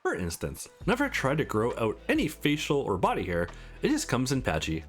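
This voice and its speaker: A man's voice: mid-range voice, huge pitch swings, fairly quick, soft background music. A man playing an easygoing, sincere male visual novel protagonist in a friendly, lightly teasing way. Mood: self-deprecating.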